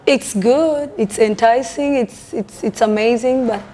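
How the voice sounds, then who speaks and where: Casting: young gospel singer as guest, slow and soft-spoken, highish voice, faint hiss. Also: female voice. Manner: slow, hesitant, giggly. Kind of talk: interview conversation.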